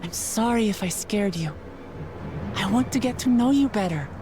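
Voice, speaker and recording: A woman's voice: mid-range voice, animated, over music, close to an everyday voice. A woman playing a kind, earnest young heroine in a video game, speaking sincerely and reassuringly. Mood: gentle, reassuring. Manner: simple, sincere, deliberate phrasing.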